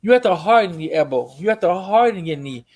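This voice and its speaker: A man from Miami co-hosting a casual podcast chat, talking in easy slang with quick laughs and eager interjections. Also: high voice, expressive, darkish tone. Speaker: co-host.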